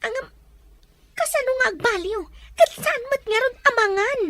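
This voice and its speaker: A woman's voice: very high voice, animated, moderate pace, a bit bright, a little hiss or hum. A woman voicing a worried wife in a radio drama, who talks in an emotional, tearful way.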